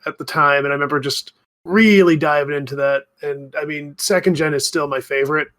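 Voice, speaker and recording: A man's voice: fairly high pitch, steady tone, fairly quick, fairly bright tone. A man in his thirties chatting casually as a podcast co-host. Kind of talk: casual chat.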